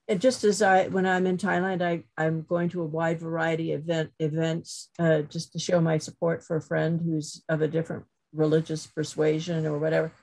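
Middle-aged woman talking on a video call, kinda low and gravelly, lots of uh and um as she thinks. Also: a little mellow, poor audio. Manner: thoughtful, many fillers and restarts. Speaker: guest lecturer, professor. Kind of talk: academic webinar talk.

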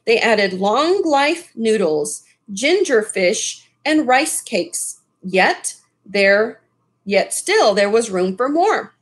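A middle-aged woman reading a children's story aloud, pausing for asides to her listeners. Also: fairly high pitch, sing-song. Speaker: storybook reader. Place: small furnished room at home.

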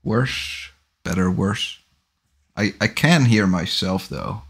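A man in his forties hosting a casual livestream from a small home office, talking into a studio microphone in a relaxed, rambling way with a low voice. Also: slow. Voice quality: low husky voice. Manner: relaxed, rambling, self-correcting. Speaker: livestream host. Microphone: large studio microphone on boom arm, headphones.

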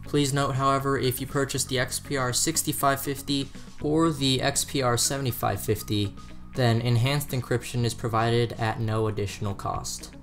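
Man, scripted product review narration, mid-range pitch, background music. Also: fairly even intonation, moderate pace, fairly bright tone.

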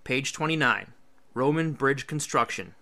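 A man's voice: mid-range voice, expressive, unhurried, sharp tone. A male teacher reading an illustrated history book aloud, with emphatic, instructional phrasing.

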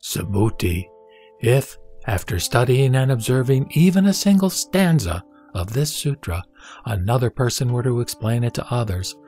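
A man's voice: medium pitch, darkish tone. A man playing the Buddha in a scripture audio drama, a serene teacher speaking formally and instructively. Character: the Buddha, serene authoritative male teacher addressing his disciple.

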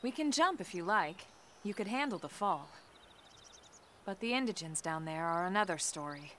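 A woman's voice: on the low side, lively intonation, normal speed, fairly bright tone, slight background noise. An actress playing a cool, confident young female soldier in a video game, speaking in a composed, conversational way. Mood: calm, guiding. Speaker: video game character voice actor. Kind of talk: scripted video game cutscene acting. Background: background music.

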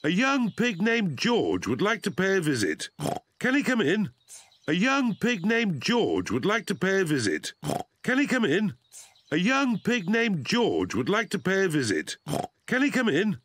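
Swooping, genial British daddy pig. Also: male voice, high-pitched, wildly swooping pitch, unhurried, a bit bright, full character voice. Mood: playfully formal. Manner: playfully pompous, clearly enunciated. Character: genial daddy pig, playfully formal and kindly.

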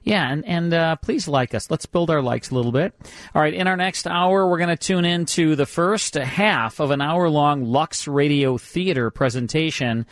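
A man's voice: on the high side, moderate pace, no echo, clean studio sound. A man in his fifties hosting a radio show casually, with a folksy, joking way of talking. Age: 50s. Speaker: radio host.

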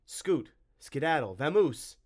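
A man voicing a brash, wisecracking and excitable adventurer in a storybook, with snappy, colloquial delivery. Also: fairly low pitch, normal speed. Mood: triumphant.

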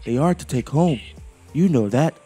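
A man's voice: mid-range voice, animated, normal speed, warm tone, husky, faint music behind, pitched above the performer's own voice. A man voicing a sensible, firm boy in a children's storybook, speaking instructively.

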